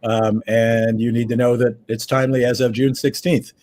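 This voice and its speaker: A man's voice: mid-range voice, little pitch movement, normal speed, a little mellow. A man with a head cold and a froggy voice, hosting a casual travel news chat from home over a video call. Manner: chatty, rambling with fillers. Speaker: host. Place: his home.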